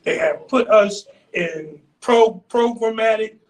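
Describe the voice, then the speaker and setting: Loud, preacherly man, high-pitched. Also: takes it slowly. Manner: loud, preacherly, repeats phrases for emphasis. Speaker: panelist. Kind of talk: impassioned community panel speech. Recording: voice picked up from a distance.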